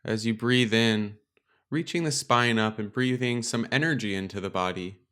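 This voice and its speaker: A man leading a guided meditation as a teacher, speaking in a calm, gentle, unhurried way with pauses. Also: lowish voice. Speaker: meditation teacher and podcast host. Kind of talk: guided meditation, slow and instructional.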